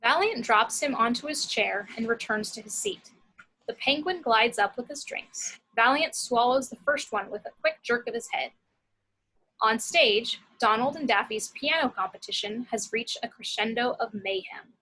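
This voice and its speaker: A woman narrates screenplay action at a table read. Her voice is fairly high and bright, with a noticeably husky edge. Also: lively intonation, normal speed, poor audio. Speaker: narrator reading action descriptions.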